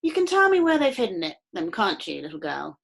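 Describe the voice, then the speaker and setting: A woman's voice: mid-range voice, huge pitch swings, brisk, vocal fry, full character voice. A woman voicing a pompous, portly male customs officer who talks in a formal, officious way. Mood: stern.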